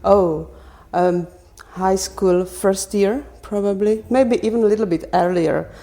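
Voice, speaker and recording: Woman, mid-pitched voice. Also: steady tone, a little slow, mellow. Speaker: podcast guest, landscape design teacher. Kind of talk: casual interview answers.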